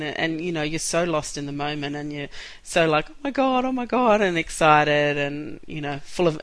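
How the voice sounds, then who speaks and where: A woman co-hosting a podcast in casual chat, a little croaky from a cold, talking in an enthusiastic, rambling way. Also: low voice, fairly bright tone, no echo. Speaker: podcast co-host.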